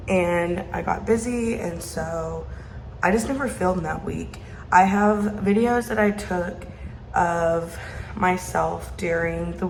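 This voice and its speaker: Young woman vlogger, casual and rambling, echoey bathroom with faint hiss. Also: lowish voice. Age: young adult. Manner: rambling, chatty. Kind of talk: casual chat to camera. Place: small bathroom. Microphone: phone propped on a sink.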